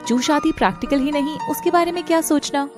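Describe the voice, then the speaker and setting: A woman voicing a confident, coaxing young modern college woman with an Indian accent in an animated story. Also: high-pitched, brisk, over music, natural voice. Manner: assertive, coaxing, mixes English into Hindi.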